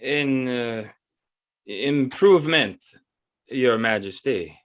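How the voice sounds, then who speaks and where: A man playing a nervous, obsequious French court choreographer who speaks haltingly in an audio drama. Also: mid-range voice, close to an everyday voice. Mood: nervous, flustered. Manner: halting, stammering, deferential. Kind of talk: stage-style audio drama acting.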